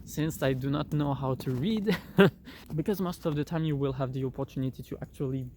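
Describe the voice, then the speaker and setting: A man's voice: mid-range voice, a little fast, a little mellow, a little hiss or hum. A young man with a French accent gives a casual, rambling review of an app, full of fillers and restarts, speaking outdoors into a phone. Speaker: vlogger. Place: outdoors in cold weather. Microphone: phone held by the speaker.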